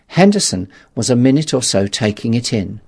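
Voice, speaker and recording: A man reading literary narration in a measured, dramatic storytelling manner, with a gravelly, husky voice. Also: medium pitch, big pitch swings, darkish tone. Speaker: audiobook narrator.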